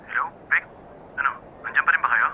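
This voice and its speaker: A man's voice: mid-range voice, fast. An actor playing a puzzled, reluctant young man through a telephone filter in a Filipino radio drama. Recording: tinny phone line, light noise.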